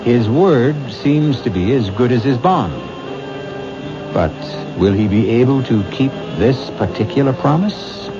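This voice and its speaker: A man's voice: medium pitch, expressive, measured pace. A male host giving theatrical, ominous narration between acts of a radio drama. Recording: dull old radio broadcast with music.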